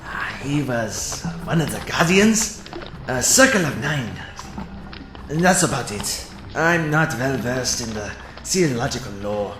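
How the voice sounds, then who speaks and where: A naive, inquisitive young man-at-arms acted by a man in a fantasy audio drama. The voice swings in pitch at a slow, casual pace, in an echoey space with music clearly behind. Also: sing-song. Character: naive, curious young male fighter.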